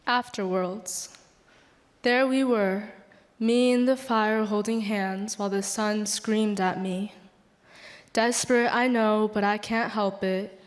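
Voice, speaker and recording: A woman's voice: medium pitch, fairly even intonation, slow. A young woman reading poetry aloud as a student poet. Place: large hall at a school event. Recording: reverberant hall.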